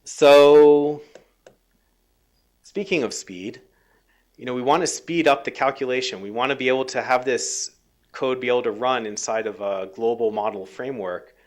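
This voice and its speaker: Male scientist lecturing, halting delivery, slightly worn voice, mid pitch. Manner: halting, many ums and restarts. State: losing his voice a little. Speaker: scientist giving a research talk. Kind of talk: technical lecture.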